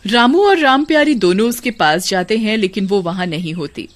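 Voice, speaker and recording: Woman storyteller with lively intonation. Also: medium pitch, normal speed. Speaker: narrator. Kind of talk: scripted storytelling narration.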